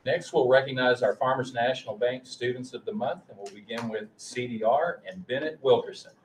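A man's voice: medium pitch, a little fast. Middle-aged male official, formal presenter reading aloud, picked up from far off in an echoing room. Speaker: school official presenting staff and student awards. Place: large meeting room, indoors. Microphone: distant room microphone. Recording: roomy, distant mic, faint noise.

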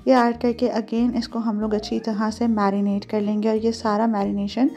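A woman's voice: a little high, little pitch movement, normal speed, dark tone. A woman giving conversational recipe instructions as a home cooking host, mixing English words and phrases into her speech.

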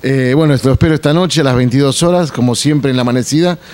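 A middle-aged man inviting people to a show, halting with many fillers. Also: mid-range voice, a little mellow. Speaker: venue owner. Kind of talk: casual promo chat.

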